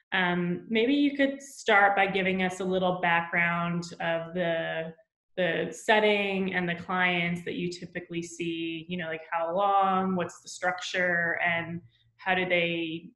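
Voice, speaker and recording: Flat-voiced woman host in an echoey room. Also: fairly low pitch. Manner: rambling, searching questions with ums. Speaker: podcast host, interviewer. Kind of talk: casual interview over video call. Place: room at home with plants. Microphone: webcam mic on a video call. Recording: echoey room over a webcam.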